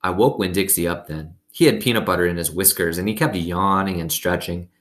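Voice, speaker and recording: A man's voice: low voice, brisk, a bit bright. A man reading a storybook aloud expressively as host, with simple, conversational asides.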